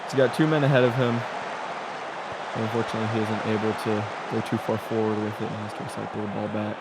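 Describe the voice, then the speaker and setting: A man chats through a simulated soccer match as color commentator. He breaks down tactics at length and gets excited at near-misses. Heavy noise sits under his voice. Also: on the low side, fast talker. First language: English. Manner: chatty, analytical, excitable. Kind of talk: casual sim soccer commentary.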